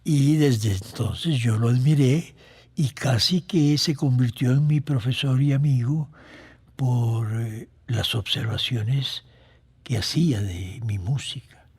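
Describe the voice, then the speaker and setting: An older Costa Rican man looks back reflectively as an interview guest. His voice is husky and mid-pitched. He talks slowly, often stopping mid-phrase before carrying on. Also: darkish tone. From Costa Rica. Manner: halting, pausing mid-phrase. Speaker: composer being interviewed. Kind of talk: reflective reminiscence.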